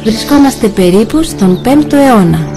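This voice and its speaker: A woman's voice: fairly high pitch, lively intonation. A woman giving scripted storytelling narration for children, with plucked guitar music behind her.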